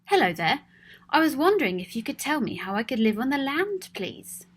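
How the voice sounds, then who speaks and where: A small, polite male fish from a children's storybook, as a woman reads him, asking his questions in a quick, eager rush. His voice sits a little high. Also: big pitch swings.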